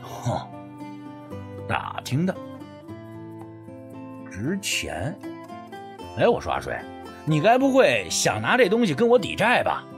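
A man's voice: fairly high pitch, huge pitch swings, slow, long pauses, over music, heavily acted. A man playing a skeptical, sardonic first-person narrator of a folk ghost story audiobook, acting out dialogue. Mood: skeptical, teasing.

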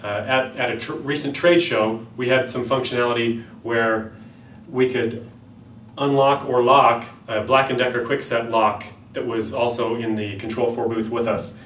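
A man's voice: mid-range voice, steady tone, moderate pace, fairly bright tone. North American man presenting, roomy distant sound. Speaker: company product presenter. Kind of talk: product demonstration talk. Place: small plain-walled room indoors. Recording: roomy, distant mic, faint background noise.

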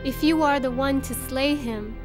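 High-voiced solemn young noblewoman played by an actress. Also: normal speed, natural voice. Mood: earnest pleading. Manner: formal, earnest. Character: earnest, solemn young noblewoman, pleading yet firm. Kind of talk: dramatic video game voice acting. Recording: music under the voice.

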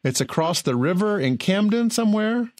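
A man's voice: sits high, lively intonation, normal speed, no echo, studio quality. A man hosting an architecture radio show, chatty and enthusiastic as he asks questions. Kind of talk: casual interview.

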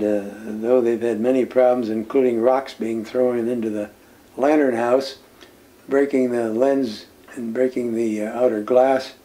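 An older man reminisces about his years of service, seated in a room full of nautical keepsakes. His voice is warm and mellow, and he speaks in a calm, unhurried way. He pauses often to gather his thoughts and laughs softly now and then. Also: mid-range voice, poor audio. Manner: unhurried storytelling with many "uh" pauses and soft chuckles. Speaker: former lighthouse keeper, interviewee. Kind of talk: reminiscing interview answers. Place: indoor room lined with nautical memorabilia. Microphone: seated interview, camera at medium distance.

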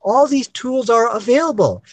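A man's voice: extremely high pitch, animated, moderate pace, darkish tone, no echo. A middle-aged man from Pakistan debating over a video call, lecturing and persistent, often saying "you know". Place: dark room, indoors. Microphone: webcam on video call.

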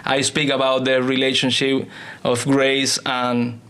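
Halting man, podcast guest. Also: medium pitch, completely flat delivery, fairly bright tone, clear, resonant tone. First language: Spanish. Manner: halting non-native English with hesitations and repetitions. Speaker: pastor and author, podcast guest. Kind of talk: conversational interview. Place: small indoor podcast studio. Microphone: close studio microphone on table stand.

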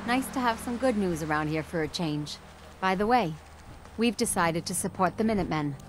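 An actress playing a hopeful, earnest woman settler in a wasteland video game, lowish voice. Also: lively intonation, fairly quick, a little hiss or hum, close to an everyday voice.

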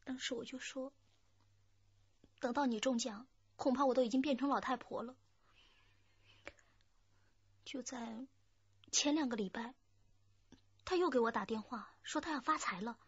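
An actress playing an anxious, guarded young office worker in love, in a radio drama. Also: female voice, fairly high pitch, unhurried, pauses often, breathy edge, muffled recording. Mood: wistful, recounting.

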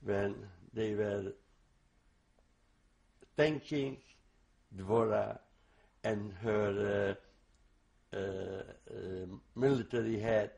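Older man, Hungarian native, testimony interviewee; gravelly, slow, halting with restarts. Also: on the low side, a little mellow. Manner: halting, many restarts and fillers; slow, reflective recall. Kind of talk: oral history testimony.

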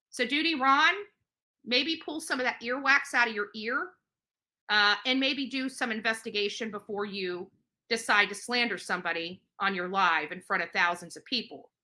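A woman's voice: a little high, sharp tone. A middle-aged woman giving indignant, emphatic commentary straight to camera, repeating phrases for emphasis. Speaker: livestream host. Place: indoor living room on a couch.

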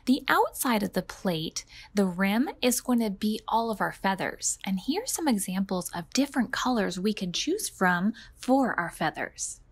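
A woman's voice: mid-range voice, sing-song, unhurried, few pauses, husky. A woman in her thirties hosting a storytime as a children's librarian, talking expressively to small children as she reads aloud and gives simple instructions. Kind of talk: read-aloud and instructions for preschoolers.

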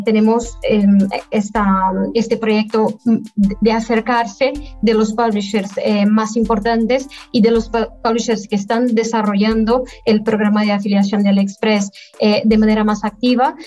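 A woman in her thirties, a guest affiliate manager, answering interview questions in a measured, halting way over a webcam video call. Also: medium pitch, darkish tone, muffled recording. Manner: measured, halting delivery with frequent pauses. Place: indoor room at home, by video call.

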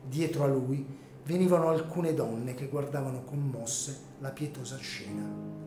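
An echoey recording with music under a male narrator. He tells a dramatic story at a mid pitch, in a measured and solemn literary style. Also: fairly even intonation.

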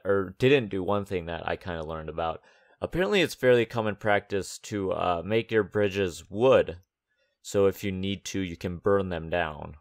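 A man with a slightly raspy voice, recovering from losing it, giving casual, unscripted gaming commentary as he thinks aloud. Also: low-pitched, dry recording, studio quality. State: recovering from a lost voice. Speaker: host of a gaming let's-play series. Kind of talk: casual game build commentary.

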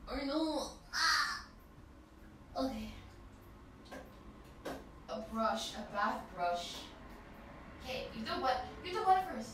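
A woman doing an intimate girlfriend roleplay in casual one-sided chat, playful and teasing, often halting and repeating words. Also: high voice, big pitch swings, a bit bright, distant mic. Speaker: girlfriend roleplay audio performer. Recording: very echoey bathroom-like sound, off-mic, background water noise.

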